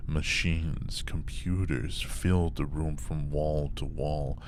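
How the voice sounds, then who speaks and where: A man playing a solemn, ominous storyteller, narrating a scripted comedy horror audio drama in a deliberate manner. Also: rumbling low, steady tone, full character voice. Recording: noticeable background noise.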